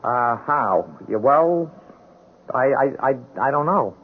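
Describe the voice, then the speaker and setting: A man's voice: medium pitch, lively intonation, slow. A man playing a hapless, trusting, simple-minded drunkard in a radio drama, folksy, hesitant and stammering. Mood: amiable, confused. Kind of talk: 1970s radio drama acting. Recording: tinny, noisy old radio recording.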